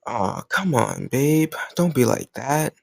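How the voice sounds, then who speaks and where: Man playing a cocky, gravelly flirt. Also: mid-range voice, lively intonation, a little mellow, no echo, full character voice. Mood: pleading, playful. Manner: teasing, cajoling, smug, drawn-out words. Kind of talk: intimate romantic audio roleplay.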